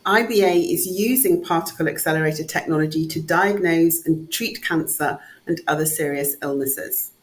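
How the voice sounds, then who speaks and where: Polished woman podcast host, lowish voice. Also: moderate pace, hardly any pauses, rough recording. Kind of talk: scripted intro then interview questions.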